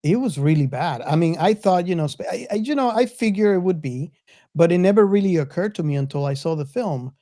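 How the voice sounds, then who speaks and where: Low, mellow-voiced woman chatting hesitantly. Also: lively intonation, fairly quick. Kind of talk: casual chat.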